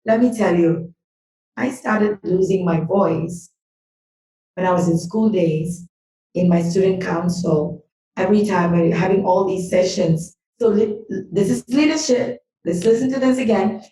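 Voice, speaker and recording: A woman's voice: fairly low pitch, measured pace, warm tone. A woman guest on a podcast, sharing a personal reflection in an earnest, emphatic way. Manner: earnest, emphatic, speaks of energy and healing. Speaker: podcast guest, business school owner and healer. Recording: roomy sound from a distant mic.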